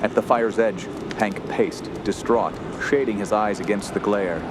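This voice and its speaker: A man's voice: lowish voice, measured pace, very noisy, close to an everyday voice. A man playing a haunted young nephew who narrates a horror radio play in a grave, ornate, ominous manner. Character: dread-stricken young nephew recounting a horror. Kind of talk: scripted first-person horror narration. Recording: loud music bed under voice.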